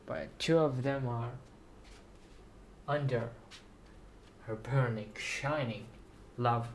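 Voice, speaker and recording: Man, halting and unhurried, slightly ill with sore throat, rambling vlog delivery, mid-low pitch range not required, light noise behind. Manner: halting, repeats words. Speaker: writer introducing and reading own story. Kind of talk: slow rambling video monologue.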